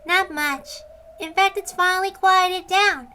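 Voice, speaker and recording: A woman's voice: very high-pitched, steady tone, unhurried, bright, forceful tone, a little hiss or hum, pitched above the performer's own voice, exaggerated voice. A woman voicing a grave, cool-headed young girl in a visual novel story, answering in a knowing way. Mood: grim, matter-of-fact.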